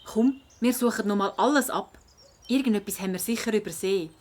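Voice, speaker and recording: A woman playing a spirited, curious schoolgirl detective in a children's audio play, lively and inquisitive, with a Swiss German accent. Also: mid-range voice, a little fast, close to an everyday voice. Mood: surprised, keen.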